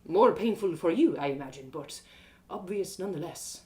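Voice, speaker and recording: A woman voicing a wise, gentle old village man in a storybook, speaking slowly in a measured, fatherly, philosophical way. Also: on the low side, animated, slight background noise, close to an everyday voice. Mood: calm, knowing.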